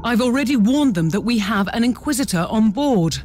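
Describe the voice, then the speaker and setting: A woman's voice: a little high, lively intonation, brisk, darkish tone, soft background music, close to an everyday voice. A woman playing a dutiful, anxious starship officer in a video game, reporting formally and with urgency. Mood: worried. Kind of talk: dramatic video game acting.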